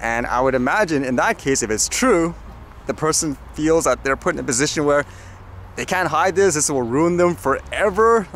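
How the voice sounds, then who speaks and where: Higher-pitched, animated man rambling casually. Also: moderate pace, a little hiss or hum. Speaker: vlogger giving news commentary. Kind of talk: casual off-the-cuff commentary.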